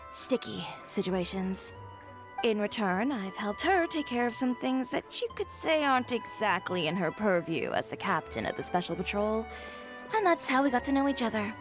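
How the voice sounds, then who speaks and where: A woman's voice: mid-range voice, natural voice. A woman playing a cool, blunt, self-assured young boutique owner in a video game, speaking drily. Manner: blunt, dry, clipped. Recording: music behind the voice. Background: game music.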